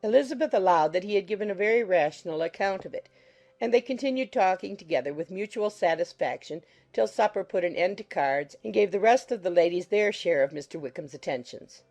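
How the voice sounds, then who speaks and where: A woman narrates a classic novel in scripted audiobook style. Her mid-pitched voice has a good deal of creak, with soft music behind it. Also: lively intonation, a little fast.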